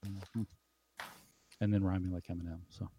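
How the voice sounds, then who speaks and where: A man co-hosting a casual chat about hip hop, relaxed and thoughtful, often murmuring agreement. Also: low voice, normal speed, husky.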